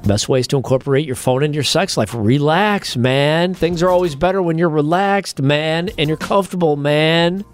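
A man's voice: a little high, normal speed, few pauses, soft background music. A man co-hosting a casual comedy podcast, reading out tips and facts with wry, offhand asides and casual swearing.

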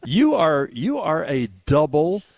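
A man's voice: on the high side, sing-song, slow, darkish tone, no echo, studio quality. An American man hosting a radio show, bantering and jokily teasing callers through a prize giveaway.

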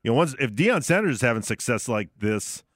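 A man's voice: mid-range voice, big pitch swings, brisk, a bit bright, no room sound. A man talks sports as a radio co-host in a loose, unscripted way. His voice sits in a middle register but moves up and down a lot. The sound is close and dry, from a studio microphone.

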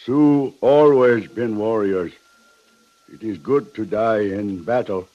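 Slow, solemn chief, old radio. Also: male voice, on the low side. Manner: slow, solemn, terse broken phrasing. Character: solemn, proud Sioux chief. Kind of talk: 1950s radio western acting. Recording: dull, band-limited old radio recording.

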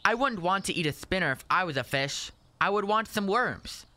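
Radio play kid: eager young boy, high, bright, blunt and proud. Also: expressive, moderate pace, full character voice. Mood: boastful.

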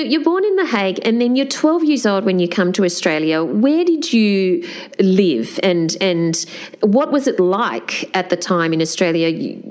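A woman interviewing a guest, asking questions attentively and gently prompting him to go on. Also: medium pitch.

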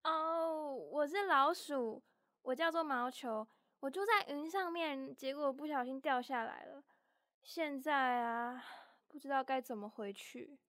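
Tiny, very high-pitched childlike mouse. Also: female voice, measured pace, a bit bright, dry recording, studio quality. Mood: curious, lost. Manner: innocent, emotional, questioning. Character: small naive young mouse, quick-tempered and homesick. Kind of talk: children's audio story acting.

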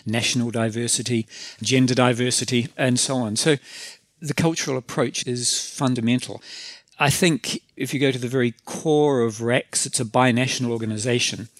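New zealand guy answering interview questions, slow and methodical, dry close mic. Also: mid-range voice, dry recording. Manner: deliberate, methodical, lists points in series. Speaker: college council leader.

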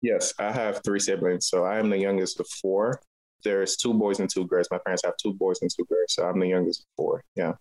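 A man's voice: low-pitched, fairly even intonation, fairly quick, darkish tone, poor audio. A man from Liberia, a local artist, answering interview questions in a conversational way. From Liberia, West Africa.

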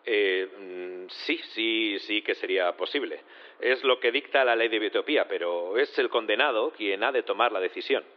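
An actor playing a formal, measured male judge presiding over a courtroom in an audio drama. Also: on the low side, highs cut off, close to an everyday voice. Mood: hesitant.

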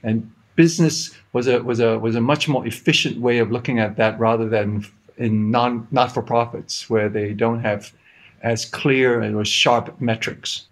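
A man in his fifties from Hong Kong with an American accent, a guest answering interview questions in a halting way, with many restarts and repeated words. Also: medium pitch, steady tone. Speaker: guest, research institute CEO.

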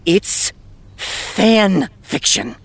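An American man acting as a stubborn, high-strung TV writer in a fiction podcast, emphatic and precise in delivery. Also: drawn-out words, vocal fry, full character voice. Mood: exasperated. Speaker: voice actor. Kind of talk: naturalistic fiction podcast dialogue.

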